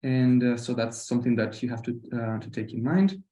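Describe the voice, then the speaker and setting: Mellow-voiced man with Costa Rican Spanish accent. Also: medium pitch, steady tone, brisk. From Costa Rica. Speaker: university program representative presenting. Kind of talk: informal webinar presentation. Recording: roomy online webinar audio.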